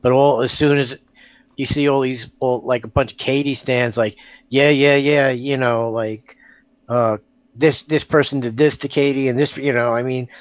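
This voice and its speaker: A man on a livestream panel giving casual, rambling commentary in a halting way, full of filler phrases. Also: medium pitch, steady tone, clean tone, no room sound, highs cut off. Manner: rambling, halting, repeats "you know" and "like".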